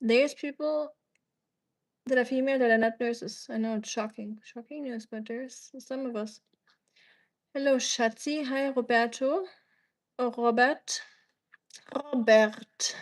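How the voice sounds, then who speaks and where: Chatty German-accented woman streamer, higher voice. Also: steady tone. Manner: rambling and chatty, quick asides, teasing. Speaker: livestreamer. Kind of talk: casual livestream chat answering viewers.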